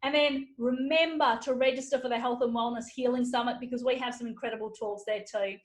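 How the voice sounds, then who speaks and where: High-voiced woman giving an upbeat keynote. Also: steady tone, a little fast. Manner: upbeat, enthusiastic, lists numbered steps. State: slightly hoarse. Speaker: podcast host giving a keynote talk. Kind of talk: motivational keynote talk. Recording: roomy echo.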